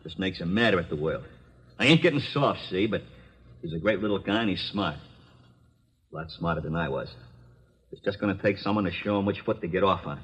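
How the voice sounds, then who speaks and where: An actor playing a tough, unrepentant condemned gangster who talks in rough slang, in an old radio crime drama. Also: male voice, sits low, lively intonation, fairly quick, long pauses, rough recording. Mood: earnest.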